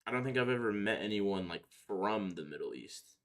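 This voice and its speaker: A man's voice: on the low side, lively intonation, moderate pace, a bit bright. A young man chatting casually on a livestream, answering viewers in slangy, profane, opinionated talk. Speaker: live streamer.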